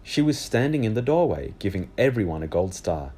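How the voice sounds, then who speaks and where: A man reading a children's book aloud as animated storybook narration. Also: on the low side, a little fast.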